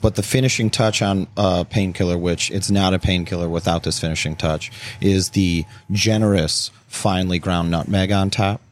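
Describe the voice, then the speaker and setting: Low-pitched American man, casual explainer. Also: fairly even intonation, moderate pace, few pauses. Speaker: guest co-host, bartender.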